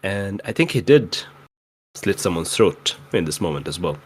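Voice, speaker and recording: A man chatting casually as a game player, deliberate and dryly humorous. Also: low-pitched, expressive, breathy edge. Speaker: podcast player. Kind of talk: casual chat.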